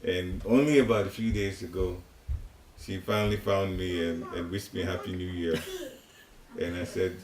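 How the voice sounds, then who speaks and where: A man's voice: low voice, steady tone, normal speed, crowd noise. An older man from Ghana with a Ghanaian accent, leading a devotional class in a home and talking in a chatty, rambling way with chuckling asides. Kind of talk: informal spiritual teaching talk. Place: living room in a private home. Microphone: seated, room recorder at a distance. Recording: roomy home recording, faint hiss.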